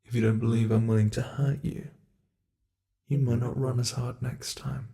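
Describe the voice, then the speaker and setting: A man's voice: mid-range voice, level tone, normal speed, vocal fry, warm tone, natural voice. A man voicing an ancient male monster in an ASMR roleplay, a cruel and playful predator who taunts his prey and drops into close whispers. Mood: menacing whisper.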